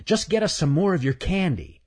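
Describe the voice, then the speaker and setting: A man's voice: on the high side, lively intonation, moderate pace, darkish tone, husky, pitched above the performer's own voice. A man voicing a cocky male chipmunk who teases and wheedles in a children's story. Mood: pushy, needling. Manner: teasing, pushy.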